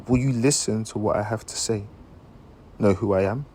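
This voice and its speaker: A man performing a dramatic monologue as an anguished dying man, earnest and halting as he questions someone he loves. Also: lowish voice, a little mellow, a little hiss or hum, lightly acted. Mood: pleading, bitter. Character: anguished dying man confessing long-hidden love, searching for meaning.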